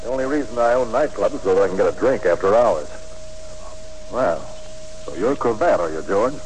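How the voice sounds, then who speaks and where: A cold-blooded club owner from a 1940s radio thriller , played by a male actor, speaks low and very quickly. His threats are terse and matter-of-fact. The old broadcast recording hums faintly. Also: lively intonation, a little mellow, slight background noise, natural voice, low-quality recording. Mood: cool, blunt. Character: smooth, cold-blooded murderous nightclub owner with a gun.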